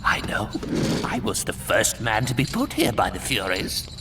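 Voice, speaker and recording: An actor playing a crazed old prisoner in a video game, muttering erratically in a breathy, cackling voice. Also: male voice, lowish voice, wildly swooping pitch, normal speed, very noisy. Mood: confiding, rambling. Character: crazed old male prisoner obsessively keeping a record. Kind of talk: video game character acting.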